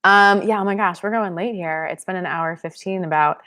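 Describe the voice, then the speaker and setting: A woman's voice: mid-range voice, brisk, pushed and bright. Chatty woman hosting a live Q&A. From Pennsylvania, US. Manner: chatty, reads viewer comments aloud. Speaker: channel host. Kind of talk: live-stream Q&A chat.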